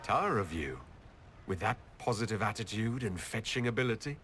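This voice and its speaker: Composed, low-voiced elf hero. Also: male voice, expressive, normal speed, slight background noise. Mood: teasing. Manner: dry, gently teasing. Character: composed elf hero man, amused and teasing. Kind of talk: video game dialogue acting.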